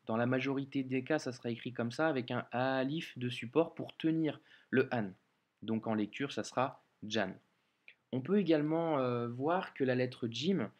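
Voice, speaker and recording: French-speaking guy teaching arabic letters, patient, lots of little pauses, mid-pitched voice. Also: darkish tone. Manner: patient, explains slowly, many hesitations and "euh". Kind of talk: online video lesson.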